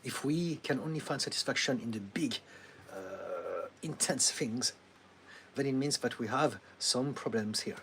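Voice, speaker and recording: In a soft, faintly hissy recording, a middle-aged man with a French accent gives patient advice at mid pitch. He speaks calmly and reassuringly, with the odd light laugh and frequent ums as he thinks. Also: unhurried, gravelly. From France. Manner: calm, advising, laughs often, many ums. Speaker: therapist answering live chat questions. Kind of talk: livestream Q&A.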